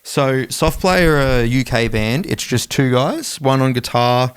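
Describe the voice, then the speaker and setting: An Australian man co-hosting a music podcast in loose, colloquial chat with some swearing. His voice is smooth and clean at a middling pitch, recorded close and dry. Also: lively intonation, unhurried, no echo. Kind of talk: casual chat.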